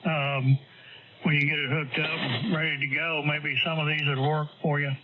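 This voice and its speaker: A man's voice: fairly high pitch, little pitch movement, normal speed. A man chatting casually as an amateur radio operator over an on-air radio link. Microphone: amateur radio transmission. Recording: dull amateur radio signal, clear static noise.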